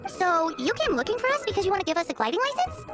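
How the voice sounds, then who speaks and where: A woman voicing a small, childlike fairy companion in a video game, squeaky, chirpy and cheeky. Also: very animated, quick pace, music in the background. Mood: puzzled, curious. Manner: refers to self in third person, exclamatory.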